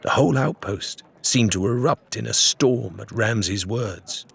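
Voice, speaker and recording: A man's voice: lowish voice, measured pace, vocal fry, breathy edge. A man reading an audiobook as narrator, in dramatic scripted storytelling.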